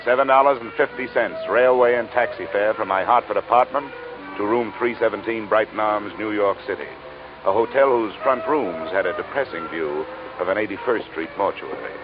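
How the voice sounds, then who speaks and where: An American man playing a blunt, deadpan insurance investigator in an old-time radio crime drama, speaking in a clipped manner. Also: fairly low pitch, moderate pace, lightly acted. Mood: dry, narrating. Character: brisk, blunt hard-boiled male insurance investigator narrating his case. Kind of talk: 1940s-50s radio detective acting. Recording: tinny band-limited old radio, music behind.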